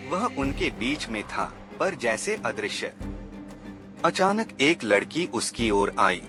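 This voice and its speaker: Guy narrating a spooky story, dramatic n slow, sharp bright voice, music behind. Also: mid-range voice.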